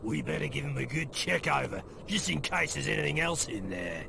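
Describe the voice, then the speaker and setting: An actor playing a greedy, oafish prison guard in a comic game cutscene, gravelly and with an Australian accent. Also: male voice, a little high, big pitch swings, fairly quick, noisy background, exaggerated voice. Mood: greedy, scheming.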